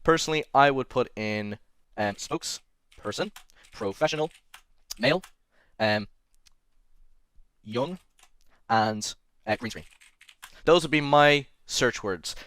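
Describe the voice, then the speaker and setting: Young english-irish guy doing a tutorial, chatty, keeps correcting himself, smooth clear voice, dry close mic at home. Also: mid-range voice, slow, long pauses, a bit bright, no room sound. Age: young adult. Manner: chatty, self-correcting, apologetic asides. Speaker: tutorial host. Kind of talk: screen-recorded tutorial.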